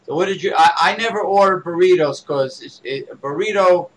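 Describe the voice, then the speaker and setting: A man from the East Coast of the United States, a co-host in casual chat who talks haltingly with many fillers. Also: high-pitched, a bit bright, slight background noise, rough recording.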